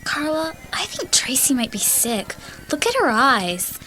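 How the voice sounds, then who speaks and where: Very high, bright young girl. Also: big pitch swings, unhurried, faint music behind, exaggerated voice, poor audio. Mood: urging. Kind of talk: audio drama acting.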